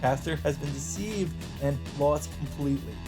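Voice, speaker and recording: A man's voice: a little high, normal speed. A man hosting a let's play, reading visual novel narration aloud. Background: game music.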